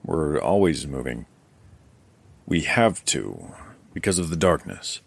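A man's voice: a bass voice, sing-song, slow, vocal fry, full character voice. An actor playing a guarded, weary male survivor and chronicler in an audio drama, speaking in a hesitant, conversational way. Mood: grave, explaining.

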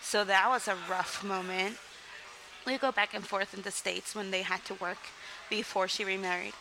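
A woman's voice: medium pitch, fairly even intonation, normal speed, vocal fry, background noise. Candid young woman from Mexico. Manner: candid, rambling, frequent "like" and "um", laughs between sentences. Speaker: guest. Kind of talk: casual interview storytelling.

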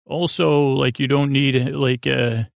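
A man hosting a sleep podcast, rambling gently in a soothing, creaky drawl full of fillers and self-corrections. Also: steady tone, slow, no echo. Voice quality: creaky, low-key drawl.

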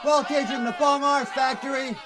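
An actor playing a brash small-town mob heckler, jeering, in a 1940s radio drama. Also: male voice, very high voice, flat delivery, moderate pace, loud music behind the voice. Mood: scornful.

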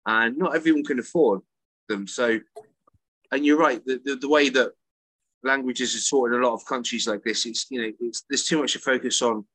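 A man co-hosting a podcast and chatting casually, an animated storyteller who repeats words as he starts his sentences. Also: medium pitch, moderate pace, no echo, low-quality recording. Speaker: podcast co-host and English teacher. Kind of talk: casual chat. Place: works in Italy.

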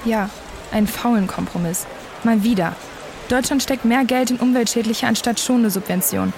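A woman's voice: a little high, normal speed, a little mellow. A woman playing an angry, impulsive young climate activist in an audio drama, speaking bluntly and provocatively. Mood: angry, defiant. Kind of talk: naturalistic audio drama dialogue. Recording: clear outdoor street and traffic noise.

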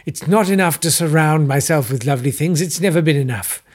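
A man voicing a pompous, obsessive aristocrat with a slow, drawling delivery. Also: fairly high pitch, pitched above the performer's own voice, lightly acted. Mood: fervent.

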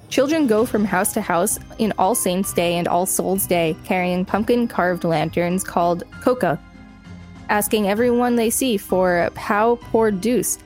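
A woman's voice: mid-range voice, darkish tone. A woman co-hosting a podcast, reading an article aloud and chatting casually. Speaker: podcast co-host.

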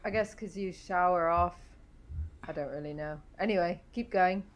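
A woman's voice: on the low side, normal speed. A British woman co-hosting a podcast, chatting in a dry, teasing way. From Britain. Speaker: podcast co-host. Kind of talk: casual chat.

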